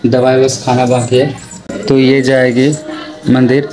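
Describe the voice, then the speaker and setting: A man's voice: mid-range voice, completely flat delivery, slow, over music. A young man narrating a casual family vlog at home, talking straight to his viewers and laughing often. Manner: chatty, laughs often, addresses viewers directly. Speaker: vlogger. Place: small home indoors. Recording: noisy home phone recording.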